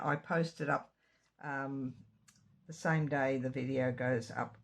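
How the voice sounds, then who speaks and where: A woman with a slightly croaky throat talks through a card-making tutorial in a relaxed, chatty way, wandering into small asides as she folds and glues. Her voice is husky and a little gravelly. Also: low-pitched. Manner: chatty, rambling asides while working. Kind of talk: casual craft tutorial. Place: home craft room.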